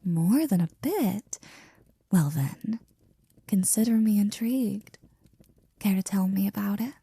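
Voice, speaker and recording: A woman playing a flirty, devoted maid in an intimate ASMR roleplay, breathy and often near a whisper, with a teasing, affectionate manner. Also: mid-range voice, expressive, dark tone, dry recording, heavily acted. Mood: curious, gossipy. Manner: teasing, affectionate, slow and drawn out.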